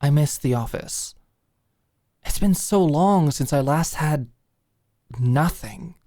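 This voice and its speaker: A woman's voice: low-pitched, slow pace, mellow, dry recording. A close, dry recording of a woman acting as a doting, dominant girlfriend in an ASMR roleplay. She fusses and coaxes with eager affection, speaking intimately like an ex-manager who now runs the home. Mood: sheepish, confessing. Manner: affectionate, fussing, coaxing.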